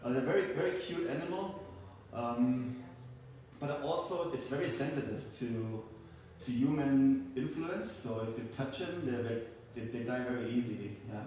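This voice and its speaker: A man telling folk tales live to a group, halting and often repeating words and phrases. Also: mid-range voice, a little mellow. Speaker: storyteller. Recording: echoey room, far from mic, faint noise.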